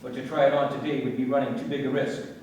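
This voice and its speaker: A man's voice: little pitch movement, quick pace, darkish tone, natural voice. A man playing a businesslike insurance assessor in a radio play, formal, clipped and urgent. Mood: urgent, decisive. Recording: very echoey, distant, rumbling noise.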